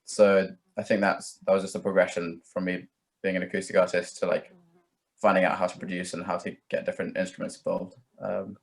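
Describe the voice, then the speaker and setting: A man's voice: sits low, little pitch movement, fairly quick, gravelly. A young man chatting casually as an interview guest, a musician who rambles and hedges with plenty of filler words. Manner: rambling, hedging, peppers talk with "like" and "kind of". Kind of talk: casual chat.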